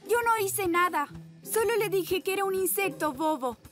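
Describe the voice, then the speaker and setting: A woman's voice: extremely high pitch, lively intonation, moderate pace, over music. A woman playing a bratty, haughty young girl villain in a Latin American cartoon dub, taunting and self-centred. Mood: petulant.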